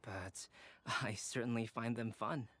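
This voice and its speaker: A man playing a polite, articulate young artist in a fantasy game, speaking in a measured way. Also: medium pitch, steady tone, a bit bright, a little hiss or hum, natural voice. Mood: courteous, thoughtful. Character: courteous, thoughtful young male artist, gentle and articulate. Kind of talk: game dialogue.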